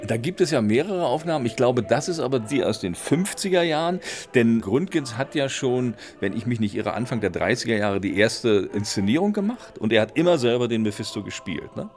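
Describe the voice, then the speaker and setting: A man's voice: mid-range voice, sing-song, fairly quick, a little mellow. A middle-aged or older man, explaining things casually and discursively, thinking aloud as he goes. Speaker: drama lecturer and dramaturge.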